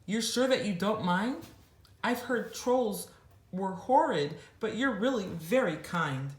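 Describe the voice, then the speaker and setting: A woman's voice: mid-range voice, lively intonation, takes it slowly, roomy sound, pitched above the performer's own voice. A woman voicing a polite, kind, earnest little boy in a storybook style. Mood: grateful, curious. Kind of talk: storybook character voice.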